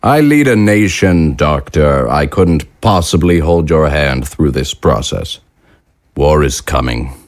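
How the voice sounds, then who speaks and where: A man in an audio drama playing a cold, calculating wartime leader who speaks in a measured, formal, quietly menacing way. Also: very deep voice, lively intonation, dry recording, clean studio sound, heavily acted. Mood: stern, assured.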